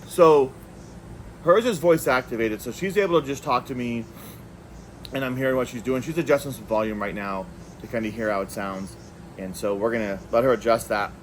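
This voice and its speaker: A man's voice: mid-range voice, a little fast, slight background noise. A man hosting a casual, unscripted product review, talking conversationally and thinking aloud as he goes. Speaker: product reviewer, video host.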